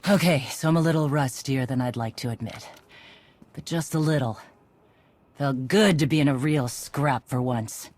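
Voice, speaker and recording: An actress playing a tough, cocky Mandalorian clan leader in a video game, brash and sardonic in a casual way. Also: female voice, very deep voice, moderate pace, a little mellow. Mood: wry, grudging.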